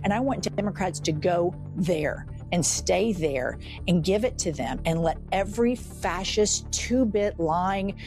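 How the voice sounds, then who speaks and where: A woman's voice: lowish voice, lively intonation, unhurried, music in the background. A woman in a heated political chat, profane, indignant and emphatic. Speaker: political commentator.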